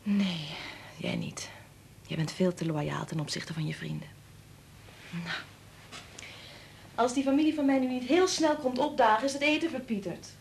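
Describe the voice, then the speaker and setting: An actress playing a warm, frank wife and mother in a Dutch radio play, talking in a soothing, confiding way. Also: mid-range voice, normal speed, creaky, long pauses, a little hiss or hum, lightly acted. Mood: worried, frank, then gently teasing.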